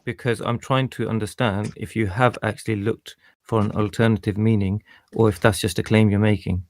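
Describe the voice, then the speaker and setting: A man's voice: on the low side, level tone, fairly quick, dry recording, clean studio sound. A man pressing his opponent in an online debate with pointed, probing questions. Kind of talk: casual debate.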